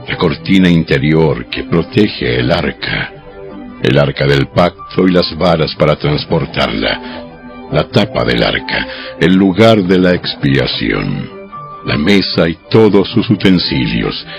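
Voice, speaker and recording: Deep-voiced male scripture narrator, gravelly. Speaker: audio Bible narrator. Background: music.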